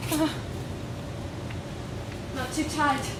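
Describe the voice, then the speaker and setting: A woman's voice: high-pitched, fairly even intonation, extremely slow. A woman playing a fussy, complaining middle-aged overseer who turns to pleading. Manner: prim, complaining, pleading under threat. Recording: echoey, distant, heavy hiss.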